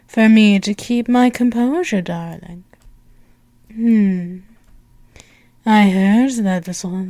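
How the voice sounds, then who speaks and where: A woman acts out an imperious demon princess for an ASMR roleplay. She speaks slowly and teasingly, lingering over her words with a regal, seductive tenderness. The sound is close and dry, with breathy murmurs. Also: medium pitch, darkish tone, dry recording, natural voice. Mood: flirtatious, smitten. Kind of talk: ASMR roleplay, intimate one-sided dialogue.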